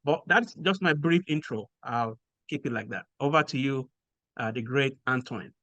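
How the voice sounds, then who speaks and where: A man from Nigeria with a Nigerian accent, explaining things in a measured way with frequent pauses as a podcast guest. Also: mid-range voice, pushed and bright, highs cut off. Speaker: guest researcher. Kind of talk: podcast interview.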